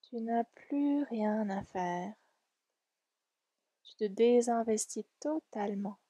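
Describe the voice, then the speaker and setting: A woman leading a guided relaxation meditation in a soft, soothing, gentle and unhurried way. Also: fairly high pitch, slightly rough, no echo. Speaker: yoga nidra guide.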